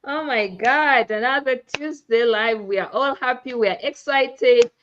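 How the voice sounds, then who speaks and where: A woman from Uganda hosts a live online talk, her voice set a little high and stretched into exuberant, emphatic phrases. The sound comes through dull and lo-fi, like a streamed call. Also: unhurried, slight background noise. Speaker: host.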